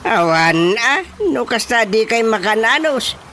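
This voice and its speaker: An actor playing an exasperated village chairman in an Ilocano radio drama comedy, with an Ilocano accent and drawn-out emphatic delivery. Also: sits high, expressive, slight background noise, exaggerated voice. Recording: loud music bed under voice.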